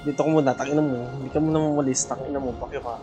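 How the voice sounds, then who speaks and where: Fast, very low-voiced Filipino woman streamer. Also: steady tone, music in the background. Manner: brash, foul-mouthed banter. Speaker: game streamer. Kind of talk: casual live gameplay reactions.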